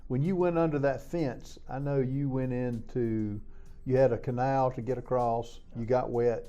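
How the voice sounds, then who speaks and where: A man's voice: medium pitch, fairly even intonation, moderate pace, mellow, highs cut off. A man hosting an interview, asking short, probing follow-up questions.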